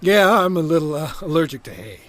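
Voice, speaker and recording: A sneezy, deferential guard for a comic audio drama. His delivery is halting and apologetic, and his pitch moves around a lot. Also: very animated, fairly bright tone. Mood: meek, sneezy. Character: meek, deferential, sneezy male fair guard.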